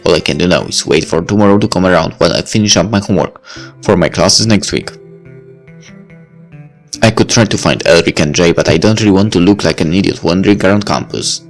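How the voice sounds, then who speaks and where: A man reading a visual novel aloud in a relaxed, casual way, adding his own comments and sometimes tripping over words. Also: deep voice, fairly quick, soft background music. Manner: relaxed, casual, with occasional slips and restarts. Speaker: let's play host reading a visual novel.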